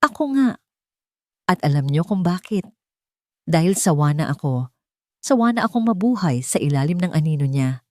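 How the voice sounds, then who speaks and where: A woman's voice: on the low side, sing-song, moderate pace, long pauses, mellow, no echo. Envious scheming man , read by a female storyteller, bitter, resentful, dry recording. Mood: bitter outburst. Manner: resentful, emotional.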